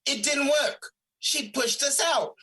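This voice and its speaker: A woman's voice: sits high, takes it slowly, pushed and bright, heavily acted. A woman playing a hostile, demanding negative emotion as an audio drama villain, threatening and insistent. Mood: frustrated. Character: menacing, demanding personified negative emotion, hostile gang member.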